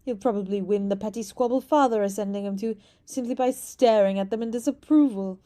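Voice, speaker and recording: A woman's voice: mid-range voice, fairly quick, warm tone, lightly acted. A woman voicing a playful young princess who teases and scatters French words into her speech, in an ASMR roleplay. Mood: teasing. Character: teasing young princess, playful, anxious beneath.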